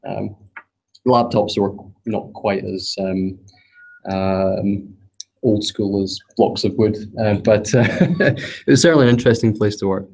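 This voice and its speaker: A man's voice: on the low side, steady tone, a little slow, gravelly, a little mellow, husky, rough recording. A man with a Scottish accent giving a technical meetup talk, hesitant, with many fillers and some self-deprecating humor. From Scotland. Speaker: presenter. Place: meetup room, also streamed online.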